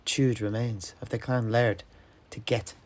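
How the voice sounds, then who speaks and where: A man narrating a fantasy story dramatically, in measured, ornate phrases. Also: medium pitch, steady tone, darkish tone, a little hiss or hum.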